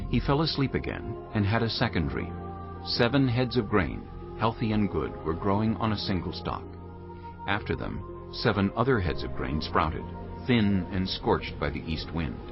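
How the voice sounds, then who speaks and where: Deep, expressive male scripture narrator. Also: highs cut off. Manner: measured, dramatic, formal. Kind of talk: solemn dramatized scripture narration.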